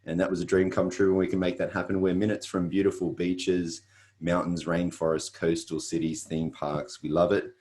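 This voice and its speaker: A man's voice: low-pitched, flat delivery, normal speed, a little mellow. A middle-aged Australian man hosting an online presentation, talking in an earnest, repetitive way with frequent fillers. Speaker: webinar host. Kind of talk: motivational presentation.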